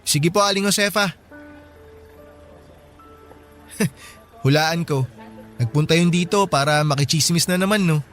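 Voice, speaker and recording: A man's voice: on the high side, moderate pace, fairly bright tone, faint music behind, lightly acted. A man playing a loyal, friendly younger brother in a radio drama, eager and earnest in manner. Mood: teasing.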